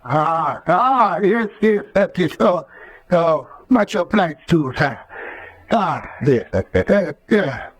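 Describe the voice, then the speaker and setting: A fussy old-fashioned radio-drama eye doctor, muddled and polite. He stammers and repeats himself in a highish, very animated voice, recorded close and dry. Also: slow, no room sound. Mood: flustered, fussy. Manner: stammering, repeats words urgently. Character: flustered, absent-minded male eye doctor, fussy and polite.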